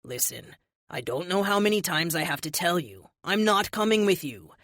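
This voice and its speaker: Woman voicing an ancient warrior hero, calm, firm, a bit amused, lively and dry. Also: fairly low pitch, moderate pace, dry recording. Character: grinning, unyielding ancient male warrior hero.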